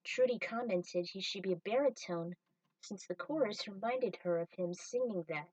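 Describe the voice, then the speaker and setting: A woman's voice: mid-range voice, moderate pace, darkish tone, no echo, recorded from a distance, highs cut off. A woman storyteller narrating a piece of fan fiction.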